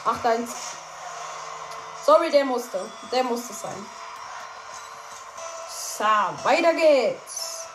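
A woman's voice: on the high side, expressive, drawn-out words, pauses often. A young woman giving casual, playful commentary while she plays a game, often tripping over her words. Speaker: gameplay commentator. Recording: echoey room with noise.

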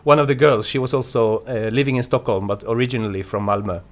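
A man chatting casually and telling a personal story in a halting, rambling way. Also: mid-range voice, steady tone. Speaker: conversation participant telling a story.

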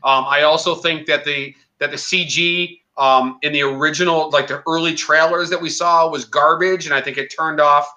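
A man in his forties chatting casually on a show, animated, opinionated and quick to joke. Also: fairly high pitch. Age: 40s. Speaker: podcast co-host. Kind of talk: casual chat.

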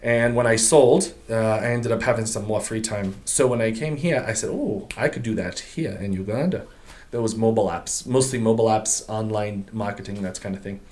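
A middle-aged man from Portugal, a guest on an interview podcast, telling his story in a relaxed, slangy way with many fillers and restarts. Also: on the low side, a little fast. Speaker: guest, business owner. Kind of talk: casual interview chat.

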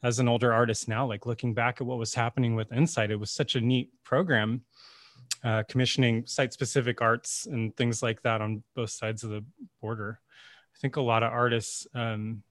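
A man in his forties hosts a relaxed conversation with an artist, talking at a medium pitch. He speaks loosely and chattily, full of "you know" and "kinda". The recording sounds dry, with little room around the voice. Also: no room sound. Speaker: interviewer, curator. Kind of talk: casual conversational interview.

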